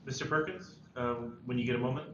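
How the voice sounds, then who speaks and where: Earnest, stressed male high school senior, read by a man, hesitant delivery; roomy, muffled table-read sound. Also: fast, fairly bright tone, lightly acted. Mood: stressed, polite. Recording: echoey, dull, faintly noisy room recording.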